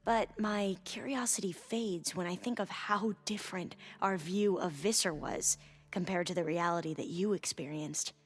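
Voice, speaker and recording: A hesitant, bookish young scholar. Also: female voice, fairly low pitch, husky, soft background music. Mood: pensive. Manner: hesitant, halting, with formal learned wording. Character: nervous, earnest, bookish young woman scholar of a tribe. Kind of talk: video game cutscene dialogue.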